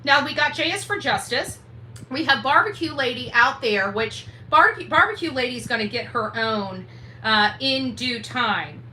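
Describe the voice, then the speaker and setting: A woman's voice: high-pitched, expressive, moderate pace, sharp tone. A woman hosting a livestream rant in an indignant, combative, emphatic way, repeating herself for force. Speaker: livestream host. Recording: roomy echo, faint background noise.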